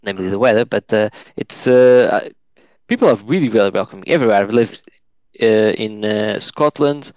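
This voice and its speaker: A man's voice: fairly low pitch, expressive, moderate pace, a little mellow, clear, resonant tone, dry recording. A man from Portugal, a Portuguese native, chatting as a podcast guest, hesitant with many fillers and restarts. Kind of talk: casual chat. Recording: dull lo-fi dry sound.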